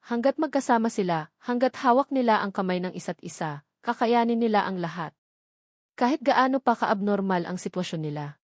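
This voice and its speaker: A woman's voice: medium pitch, no room sound. A woman narrating a dramatic audio love story in an earnest storytelling way, close to the mic.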